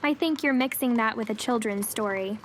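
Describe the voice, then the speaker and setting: A modest young satyr woman, voiced by an actress in a fantasy audio drama. Her voice sits a little high and she speaks in an unassuming, self-doubting way. Faint animal ambience runs underneath. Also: normal speed, slight background noise, close to an everyday voice.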